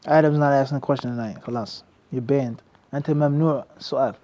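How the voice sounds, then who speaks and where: A man's voice: mid-range voice, fairly even intonation, brisk, warm tone. A man teaching in a mosque, preaching emphatically and asking the crowd rhetorical questions. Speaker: Islamic teacher. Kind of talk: lecture with Q&A.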